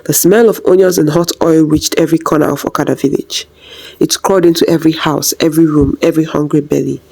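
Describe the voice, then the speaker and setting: A woman's voice: low voice, fairly even intonation, quick pace, gravelly, a little mellow, slightly rough, rough recording. A woman narrating a scripted folktale as a storyteller.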